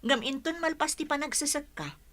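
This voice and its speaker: A woman's voice: high voice, moderate pace, a bit bright. A woman acting a hardworking, strict elder sister in an audio drama, reproachful and emphatic in manner. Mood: stern, reproachful. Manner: emphatic, scolding.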